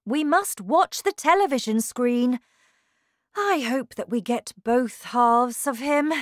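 A woman voicing an eccentric, excitable male factory owner in a children's storybook, exclaiming with showy delight. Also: fairly high pitch, slow pace, no echo, clean studio sound, pitched above the performer's own voice. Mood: reassuring.

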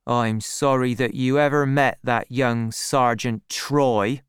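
A reader voicing an earnest, worried farmer, a lovesick man who speaks in a measured, pleading way. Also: medium pitch, steady tone, clear, resonant tone, dry recording, studio quality, close to an everyday voice. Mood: worried, sad.

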